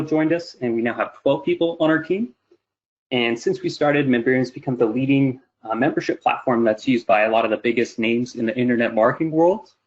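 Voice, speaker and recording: A man in his thirties giving an explanatory webinar presentation in an informal way. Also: mid-range voice. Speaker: presenter, company CEO. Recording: dull lo-fi webinar audio.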